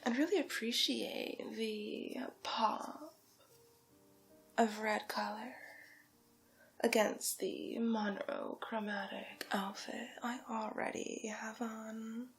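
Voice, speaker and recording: A vain, wealthy villainess, acted by a woman for an ASMR roleplay, whispers with lofty condescension. Her voice is breathy and fairly high, with heavy creak, and she draws out her words slowly and theatrically. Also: fairly even intonation, heavily acted. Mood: vain, indulgent. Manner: slow, drawn-out, theatrical, patronizing. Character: haughty, vain, condescending wealthy villainess, fur-loving fashion snob.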